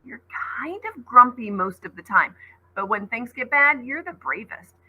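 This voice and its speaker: A woman's voice: fairly high pitch, lively intonation, normal speed, slightly rough, dull audio, voice pushed up. A woman voicing a good-natured boy who is wry and encouraging. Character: easygoing good-natured boy, hockey player.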